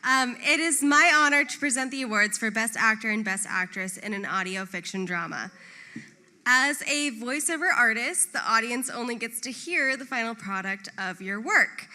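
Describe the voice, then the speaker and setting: A woman's voice: on the high side, sharp tone. A woman presenting awards at a live ceremony on a theatre stage. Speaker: voiceover artist.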